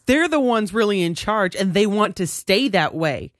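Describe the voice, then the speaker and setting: A man voicing a tall, bitter young woman in a soft, tense, resentful voice. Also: high voice, lively intonation, moderate pace, no room sound, clean studio sound, pitched above the performer's own voice.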